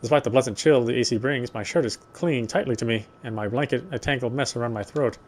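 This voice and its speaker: A man reading a horror game story aloud, with expressive, lingering narration. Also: mid-range voice, quick pace. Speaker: let's player reading a visual novel aloud.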